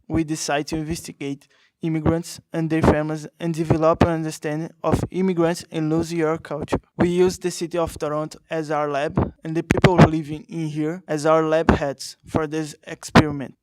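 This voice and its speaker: Man from Brazil, student, higher voice, flat, smooth, dry. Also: normal speed, no room sound, low-quality recording. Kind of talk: scripted narration.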